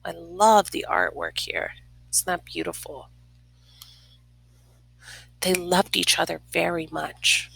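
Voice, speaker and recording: A woman reads a picture book aloud at a very slow, expressive pace. Her voice is bright yet creaky, with dramatic pauses that can fall toward a whisper and a few casual asides. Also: on the low side, rough recording. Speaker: storybook reader.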